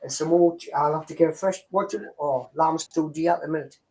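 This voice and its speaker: A man with a notably high voice, joking around on a video call. He talks in a casual, easygoing way and laughs easily. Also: fairly even intonation, quick pace, crowd noise.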